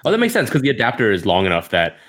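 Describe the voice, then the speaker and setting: A man in a casual chat, animated and quick to react with interest. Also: on the low side.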